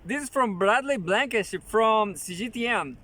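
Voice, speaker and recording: A man hosting his own channel, casually reading an article aloud with halting restarts and joking asides. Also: high-pitched, expressive, bright, forceful tone.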